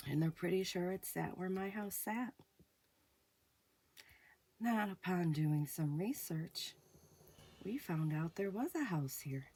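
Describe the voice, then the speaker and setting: Low-voiced woman host recovering from illness, hushed slow ghost storytelling over faint music. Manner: slow, hushed, dramatic pauses. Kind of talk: spoken ghost story narration.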